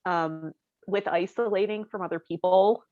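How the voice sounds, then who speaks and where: Woman podcast co-host, mid pitch, musing and giggly in casual chat; dry, slightly muffled lo-fi sound. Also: fairly even intonation, normal speed, a little mellow, no room sound. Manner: giggly, laughs often while talking. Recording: dull, lo-fi, dry audio.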